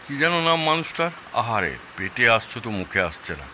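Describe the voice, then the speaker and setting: Boastful older Kolkata gentleman, piercingly bright. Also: medium pitch, sing-song, fairly quick, slight background noise. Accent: Kolkata Bengali. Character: boastful older Kolkata father-in-law, champion of Ganga hilsa. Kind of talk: Bengali audio drama dialogue.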